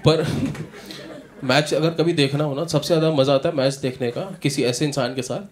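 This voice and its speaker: A man in his thirties doing a stand-up comedy set on a club stage, telling animated stories and acting out characters into a handheld mic. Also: fairly high pitch, a little fast, a crowd in the background. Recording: live club audio with audience noise. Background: audience laughter.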